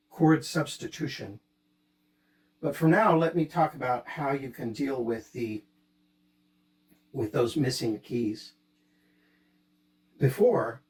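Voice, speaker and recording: A man's voice: mid-range voice, rough recording. A man presents an instrument tutorial, explaining things plainly and step by step. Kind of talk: explanatory music gear tutorial. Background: electronic chord instrument being played.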